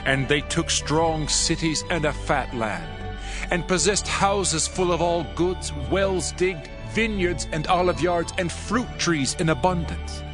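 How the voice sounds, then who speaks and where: A man plays a solemn worshipper recounting his forefathers in prayer for a scripture drama. His voice sits a little high, with formal, measured phrasing over clear music. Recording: studio reading under prominent music.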